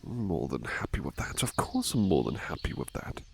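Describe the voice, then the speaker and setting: Man acting as devoted male servant, ASMR roleplay, soft-spoken, gravelly creak, gentle, fussing, deferential, repeated reassurances, faint background noise. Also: deep voice, sing-song, normal speed, exaggerated voice. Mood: reassuring, gentle. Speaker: roleplay performer.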